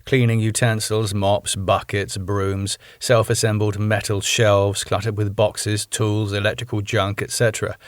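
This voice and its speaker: A man's voice: fairly low pitch, little pitch movement, unhurried, few pauses, no room sound, clean studio sound. A man from the United Kingdom reading the stage directions of his own script in a plain, matter-of-fact way.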